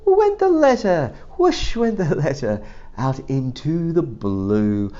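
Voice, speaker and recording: A man reading a rhyming children's story aloud in an expressive, drawn-out way, with playful asides and sound effects. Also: on the high side, lo-fi sound. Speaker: storybook reader.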